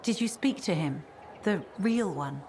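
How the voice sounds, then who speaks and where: A woman's voice: lowish voice, lively intonation, measured pace, a little mellow, slight background noise. An actress playing a worried young woman in video game dialogue, speaking anxiously and hesitantly.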